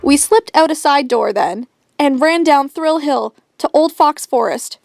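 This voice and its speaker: A woman's voice: high-pitched, a little slow, vocal fry, darkish tone, no room sound, clean studio sound, faint music behind. An actress playing a confident rich teenage girl who tells her story dramatically in an audio drama. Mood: ominous storytelling.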